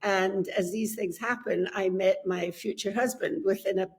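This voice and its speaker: An older woman with a Scottish accent, a book coach and podcast guest, telling her story in a measured, friendly way on a remote call. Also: mid-range voice, steady tone. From Scotland. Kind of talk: casual interview chat. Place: home, on a remote call from abroad.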